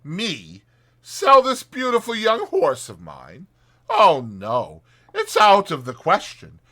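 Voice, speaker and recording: A man's voice: sits high, wildly swooping pitch, slow pace, sharp tone, voice pushed up, exaggerated voice. A man voicing a boastful, pompous toad haggling in a wordy, indignant manner in a children's storybook. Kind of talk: dramatized children's storybook reading.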